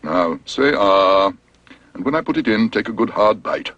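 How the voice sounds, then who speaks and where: A man voicing a fussy, pompous middle-aged male dentist in a storybook reading, speaking slowly and deliberately. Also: on the low side, expressive, a bit bright, no echo, lo-fi sound. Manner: slow, measured, pompous.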